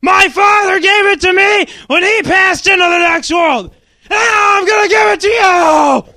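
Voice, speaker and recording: High, shouting dying father. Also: dry recording, full character voice. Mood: solemn, urgent. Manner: shouting in drawn-out, overwrought cries. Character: dying father, wounded, desperate, melodramatic, urging his son. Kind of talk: over-the-top comic storybook reading.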